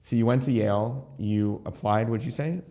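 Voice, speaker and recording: A man's voice: mid-range voice, moderate pace, dark tone. A man hosting an interview in a studio, chatty and enthusiastic, with rambling introductions. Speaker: rabbi and podcast host. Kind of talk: casual interview chat. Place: recording studio.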